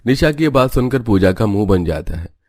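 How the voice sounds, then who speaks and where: A man's voice: fairly low pitch, expressive, fairly quick, darkish tone, no room sound. A man narrator reading a scripted family story for a cartoon video.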